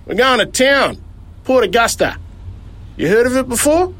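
An Australian man voicing a blunt, shouting male driver in a high, strained voice for a storybook. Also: expressive, moderate pace. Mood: matter-of-fact. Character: gruff male driver, blunt but kind.

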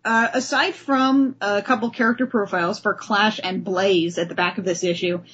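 Woman, podcast host, husky voice, slightly high pitch, muffled lo-fi recording, discussion style. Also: steady tone, moderate pace, a bit bright. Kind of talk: comic book discussion with dramatic letter reading. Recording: dull, lo-fi podcast audio.

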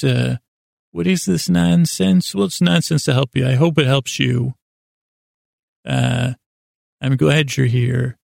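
Very gravelly, rambling male podcast host. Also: a little high, a little mellow, no room sound, studio quality. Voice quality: gravelly, creaky voice. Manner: meandering, halting, often trailing off and circling back. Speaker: host of a bedtime sleep podcast. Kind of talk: rambling, unscripted bedtime monologue.

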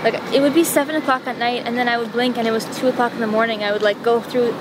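A woman's voice: on the high side, fairly even intonation, rapid-fire. A woman from Montreal whose first language is Italian, chatting in a rambling, filler-heavy way as an interview guest outdoors. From Montreal, Canada. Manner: rambling, chatty, many fillers, self-deprecating. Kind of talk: casual chat. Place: outdoors on a city street. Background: traffic and bus noise.

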